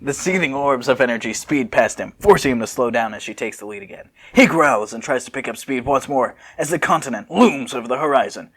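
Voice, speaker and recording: A man's voice: mid-range voice, lively intonation, fairly quick, few pauses, slightly rough. A man narrating a story in an amateur read-aloud style, with casual joking asides.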